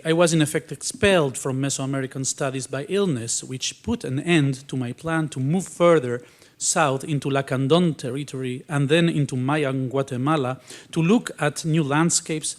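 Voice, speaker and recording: A young man with an Argentinian accent, a history graduate, reading questions and answers aloud with hesitant restarts. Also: on the high side.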